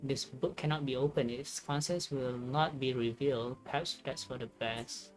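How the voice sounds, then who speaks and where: A woman livestreaming a game, reading its text aloud and musing on it in a halting way. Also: extremely low pitch. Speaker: game streamer. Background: game music.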